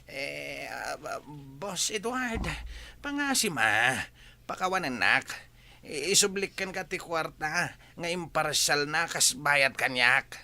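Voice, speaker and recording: A man's voice: a little high, animated, slow, vocal fry, bright, forceful tone, exaggerated voice. An actor playing a nervous, pleading male henchman who stammers and hesitates, with an Ilocano accent, in a radio drama. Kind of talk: Filipino radio drama acting.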